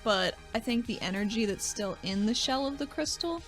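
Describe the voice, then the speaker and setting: A woman's voice: on the high side, expressive, moderate pace, soft background music. A woman playing a tabletop game, chatting and improvising in a casual, playful, informal way.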